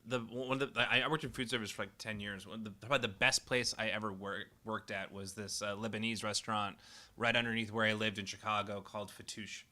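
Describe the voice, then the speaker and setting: Male co-host, very bright tone, excitable, stammers on restarts. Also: fairly low pitch, quick pace. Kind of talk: casual chat.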